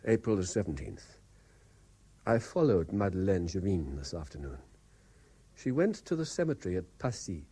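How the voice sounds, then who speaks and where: An actor playing a haunted, reluctant private investigator in a radio drama, with a British accent and a hesitant, measured way of speaking. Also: male voice, low voice, dark tone, slightly rough, exaggerated voice. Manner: hesitant, stammering in talk, measured when dictating. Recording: 1970s radio drama broadcast.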